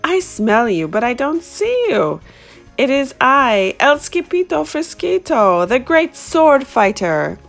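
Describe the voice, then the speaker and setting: A woman's voice: high-pitched, big pitch swings, slow, gravelly, faint music behind, voice pushed up, exaggerated voice. A woman voicing a mischievous young boy cat who thinks he is a Chihuahua, speaking with a put-on Spanish accent in a playful sing-song.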